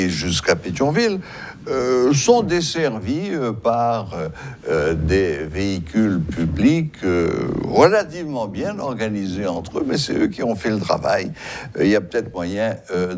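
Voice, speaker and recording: A man hosting a TV talk show, speaking hesitantly with many pauses. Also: low-pitched, very animated, a little mellow, a little hiss or hum. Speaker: host.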